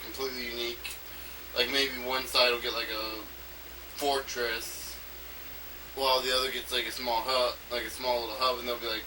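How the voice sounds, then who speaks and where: A man giving an unscripted, rambling monologue as an amateur audio log, haltingly, repeating himself and trailing off mid-phrase. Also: medium pitch. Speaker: amateur game designer recording an audio log. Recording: noisy amateur recording, distant from mic.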